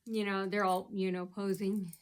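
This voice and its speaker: A woman's voice: mid-range voice, level tone, moderate pace, clear, resonant tone. A woman from the United States talking in a rambling casual monologue, full of ums and uhs and constantly circling back with "so anyway.". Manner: meandering, keeps restarting with "so anyway"; heavy fillers, um and uh. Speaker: vlogger.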